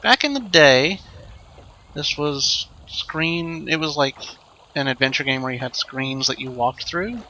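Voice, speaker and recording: Bright, higher-voiced man doing game commentary. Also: a little slow, muffled recording. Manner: rambling, thinking aloud. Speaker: let's play host. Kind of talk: casual improvised game commentary.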